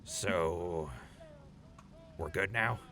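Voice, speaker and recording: A man playing a gruff but awkward, apologetic old buddy in an audio drama, gravelly and hesitant. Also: sits low, extremely slow, pushed and bright, slight background noise, exaggerated voice. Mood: relieved, fond.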